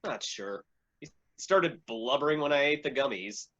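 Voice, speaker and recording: A man's voice: medium pitch, lively intonation, normal speed, fairly bright tone, highs cut off. A man acting a weary, laconic police-chief type of hero in a staged play reading, with some noise on the recording. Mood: deadpan. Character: groggy, deadpan male chief, weary gun-toting action hero.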